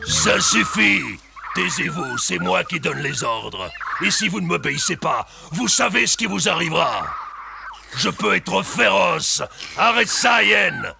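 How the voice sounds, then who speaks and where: A man voicing an imperious, menacing tiger lord of the jungle in an animated cartoon, with a gravelly voice. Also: high voice, a little slow, full character voice. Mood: angry, threatening. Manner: imperious, threatening. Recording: loud music behind.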